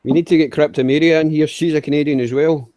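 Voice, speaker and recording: A man chatting in a relaxed way in an online group, laughing easily. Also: fairly high pitch, fast talker, no echo. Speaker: livestream chat participant. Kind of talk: casual group chat.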